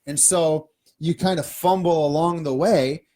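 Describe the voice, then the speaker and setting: Man, midwestern accent, podcast co-host, emphatic, animated, lists points. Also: fairly high pitch, a little slow. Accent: Midwestern American. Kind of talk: casual business chat. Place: studio.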